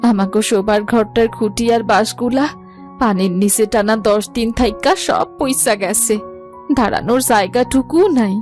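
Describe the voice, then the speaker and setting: A woman's voice: fairly high pitch, normal speed, darkish tone, faint music behind, natural voice. A woman playing a devout, caring village mother with a rural Bangladeshi accent in a moral story. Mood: dismayed.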